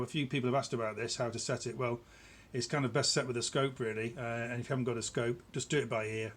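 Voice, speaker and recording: A middle-aged man giving a casual, informal product demonstration in a small indoor workshop, explaining haltingly and repeating words for emphasis. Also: medium pitch. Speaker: electronics builder presenting their own product. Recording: clear background noise in a room.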